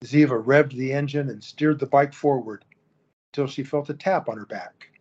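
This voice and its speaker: A man narrating an audio drama in a steady storytelling manner. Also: mid-range voice, moderate pace, breathy edge. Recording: dull lo-fi recording.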